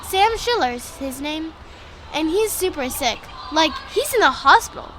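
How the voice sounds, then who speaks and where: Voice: high-pitched, big pitch swings, measured pace, bright, forceful tone, a little hiss or hum, exaggerated voice, low-quality recording. A performer playing an earnest, naive, talkative little boy in a family audio drama, eager and guileless. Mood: worried. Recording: prominent music behind the voice.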